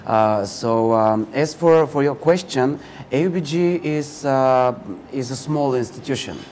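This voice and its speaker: A man from Bulgaria with a Bulgarian accent, giving informative interview answers as a university recruiter, pausing mid-phrase. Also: medium pitch, darkish tone. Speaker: university recruiter and admissions counselor, interview guest. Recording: clear background ambient noise.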